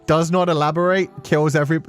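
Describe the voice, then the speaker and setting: A man giving casual, animated commentary on a story game, swearing freely as a streamer. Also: fairly high pitch, fast, smooth, faint music behind. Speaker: streamer playing and reading a visual novel.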